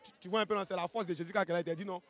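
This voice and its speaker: A man with an Ivorian accent giving colloquial live fight commentary, excitable, repeating phrases and adding tag questions. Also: high voice, very fast, a crowd in the background. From Ivory Coast.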